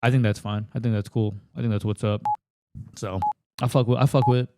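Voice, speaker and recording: A man's voice: mid-range voice, fairly even intonation, a little fast, noisy background. A man from the United States doing an improvised, crude, self-deprecating comedy rant into a handheld mic at a table in a house. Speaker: comedian contestant. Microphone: handheld microphone.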